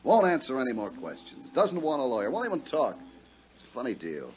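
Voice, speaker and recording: Gruff american harbor inspector, bit baffled, voice jumps around a lot, dull old 50s radio recording. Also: male voice, mid-range voice, wildly swooping pitch, fairly quick, a little hiss or hum. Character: gruff, puzzled male harbor police inspector, plain-spoken and sympathetic. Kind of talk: 1950s radio drama acting. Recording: muffled 1950s radio recording.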